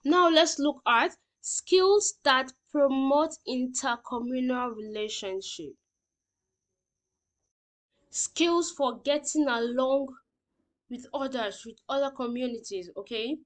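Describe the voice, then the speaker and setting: A woman's voice: high voice, slow pace. A woman teacher reading out lesson points and explaining them simply, often checking that learners understand.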